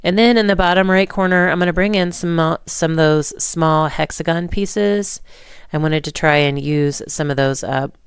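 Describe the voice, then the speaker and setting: A woman narrating a card-making tutorial in relaxed, rambling steps, hoarse and stuffy from a cold. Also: sits low, normal speed, dry recording, studio quality. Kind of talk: voice-over craft tutorial.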